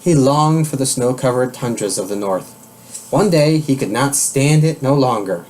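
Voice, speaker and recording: A man reading a children's storybook aloud in a measured, expressive manner. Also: medium pitch, slight background noise. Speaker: storybook reader.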